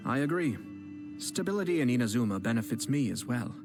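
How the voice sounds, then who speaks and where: An actor playing a poised young clan head and cunning strategist, speaking in a measured, courteous way in video game dialogue. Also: male voice, mid-range voice, sing-song. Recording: game dialogue with music underneath.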